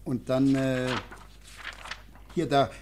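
An actor playing a fussy, excitable, deferential householder with a bustling manner, in a 1950 German radio play. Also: male voice, mid-range voice, very slow, slight background noise. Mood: eager, fussy.

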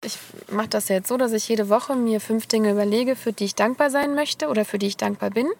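A woman giving an earnest, measured account of her own efforts to be happier. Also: on the high side, steady tone, creaky, noisy background. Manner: measured, earnest, slightly hesitant. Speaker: participant in a happiness experiment. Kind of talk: reflective personal account.

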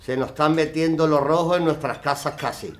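A man's voice: on the high side, a little fast, natural voice. Man playing an indignant reactionary husband. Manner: indignant, preachy. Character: reactionary middle-aged husband, scornful.

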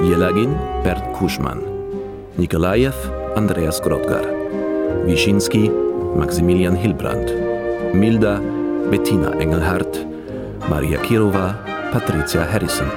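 A man reading scripted radio drama credits as an announcer. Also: low-pitched.

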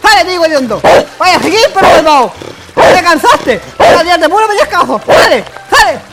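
A clumsy, anxious Chilean boy in a radio drama, with a very high, swooping voice. He exclaims in a flustered, colloquial way over loud music and barking dogs. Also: very animated, fairly quick, exaggerated voice. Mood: angry, frightened. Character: Pancho, weak, clumsy, anxious boy carrying meat. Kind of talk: radio play acting.

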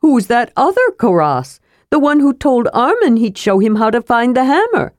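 A woman's voice: on the high side, big pitch swings, moderate pace, dry recording, studio quality, voice pushed up. A woman voicing an eager, chatty, childlike male kender adventurer in a fantasy audiobook, given to wailing and arguing. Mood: puzzled, eager.

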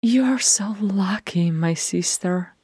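A woman voicing a calm, motherly elder dwarf sister who coaxes and soothes. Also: medium pitch, slow pace, slightly rough, no echo, studio quality, pitched above the performer's own voice. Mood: soothing.

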